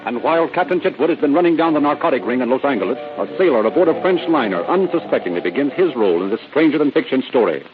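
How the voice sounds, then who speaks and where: A man's voice: medium pitch, big pitch swings, fast, few pauses, phone-quality sound, over music. A man with an American accent narrating a crime drama in a dramatic, florid old-time radio style. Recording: thin old radio recording.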